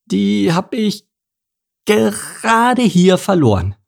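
A man's voice: high voice, sing-song, takes it slowly, creaky, voice pushed up, full character voice. Man playing a sheepish, hesitant little mouse fibber. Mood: nervous pleading. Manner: stammering, hesitant, mumbling. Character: shifty, sheepish male mouse caught lying. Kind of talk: children's storybook reading.

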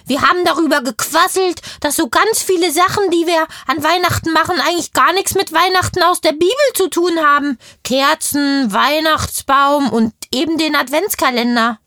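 A woman's voice: high voice, moderate pace, few pauses, bright, forceful tone, dry recording, studio quality. A woman playing a curious, chatty kindergarten girl in a children's audio play, with emphatic, cheeky childlike talk.

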